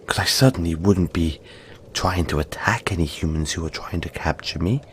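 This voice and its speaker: A man voicing a gentle, friendly young yeti in an intimate audio roleplay, speaking softly and reassuringly, with halting, breathy phrasing. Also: low voice, slight background noise. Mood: friendly, earnest. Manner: soft-spoken, reassuring, halting, often breathy near-whisper.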